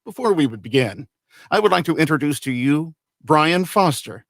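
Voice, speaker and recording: A man's voice: on the high side, big pitch swings, normal speed, fairly bright tone, no echo, clean studio sound. A man playing a formal radio host and narrator, with theatrical old-time announcer delivery. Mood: genial, formal.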